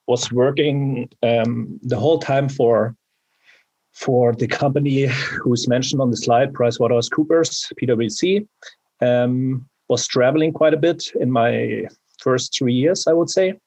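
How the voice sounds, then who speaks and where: A man from Germany with a German accent, introducing himself as a new club member on a video call, polite and halting, with many fillers. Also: medium pitch, a little mellow, slightly rough, low-quality recording.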